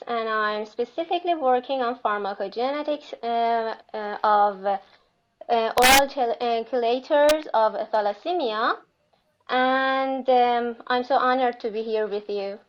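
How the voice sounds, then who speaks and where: High-voiced woman, lo-fi call. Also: measured pace. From Iran. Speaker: genetics researcher.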